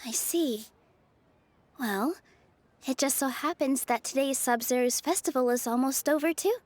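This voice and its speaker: High-voiced gentle young dancer. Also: female voice, measured pace. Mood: gentle, wistful. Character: gentle, earnest young female festival dancer. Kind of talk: video game character acting.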